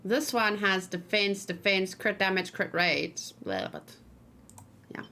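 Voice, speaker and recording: A woman hosts a casual gaming stream in a medium-pitched voice. She thinks aloud and mutters to herself as she goes. There is light background noise, with keyboard typing and clicks. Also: takes it slowly, vocal fry, bright, forceful tone. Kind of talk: casual live let's play chat, thinking aloud. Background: background noise, computer keyboard and mouse clicks.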